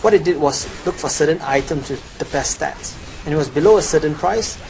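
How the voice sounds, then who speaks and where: A man's voice: a little high, brisk, a little mellow. A young adult man giving a scripted promotional voice-over as a gamer presenting his guide. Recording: clearly noisy home recording.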